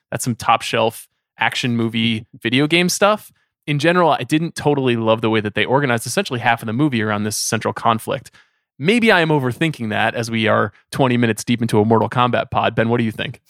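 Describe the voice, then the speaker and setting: A man hosts a podcast in a casual, conversational style. His voice sits at a middle pitch and is recorded very dry, with little room sound. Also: fairly bright tone, no room sound. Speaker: podcast host.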